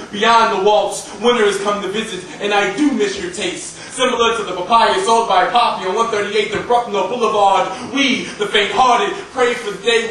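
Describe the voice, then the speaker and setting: A man's voice: high-pitched, fairly bright tone. A young man performing spoken-word slam poetry in an impassioned, dramatic way with emphatic phrasing. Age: young adult. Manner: impassioned, dramatic delivery with emphatic repetition and alliteration. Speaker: slam poet. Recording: roomy, noisy live recording from far off.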